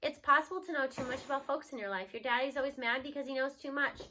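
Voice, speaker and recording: A woman's voice: sits high, fast, bright, forceful tone, slight background noise. A woman voicing a spry, folksy grandmother in a children's storybook, frank and affectionate in a colloquial way. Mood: rueful, confiding.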